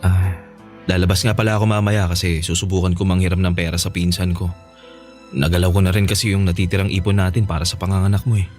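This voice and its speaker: Soothing, lower-pitched young Filipino husband played by an actor. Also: male voice, level tone, fast talker, a little mellow, soft background music, close to an everyday voice. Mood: reassuring. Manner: soothing, coaxing. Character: Rolly, gentle, devoted, reassuring young husband. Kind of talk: Filipino radio drama acting.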